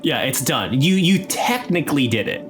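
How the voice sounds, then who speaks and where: A man's voice: mid-range voice, sing-song, normal speed, a bit bright, soft background music. A man narrating a tabletop role-playing game as game master in a casual style. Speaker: game master and narrator of an actual play podcast.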